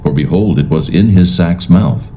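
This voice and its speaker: A man narrating dramatised scripture in a solemn, measured, formal manner. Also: sits low, warm tone, lo-fi sound. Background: faint music.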